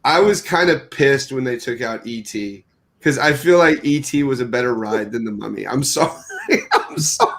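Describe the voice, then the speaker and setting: A man's voice: medium pitch, normal speed, fairly bright tone, a little hiss or hum. A man in his late thirties, a podcast panelist, chatting casually and nostalgically. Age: 30s. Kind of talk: casual chat.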